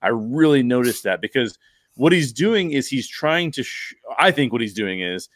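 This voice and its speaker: A middle-aged man speaks as a podcast host in casual discussion. He explains emphatically in an average-pitched voice, recorded dry and close on a podcast microphone. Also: lively intonation, normal speed, no echo. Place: small bedroom, indoors. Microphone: close podcast microphone with foam cover.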